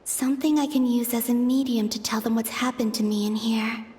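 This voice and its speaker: A woman voicing a gentle, pensive young moon goddess in a video game, speaking softly in measured, introspective monologue. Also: on the high side, steady tone, lightly acted. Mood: realizing, resolved.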